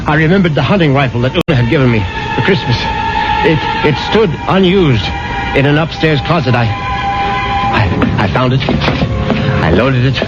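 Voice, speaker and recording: An actor playing a frightened, haunted husband who tells his horror story haltingly and melodramatically in a 1970s radio drama. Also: medium pitch, natural voice. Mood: tense, halting. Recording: muffled old radio, loud music behind.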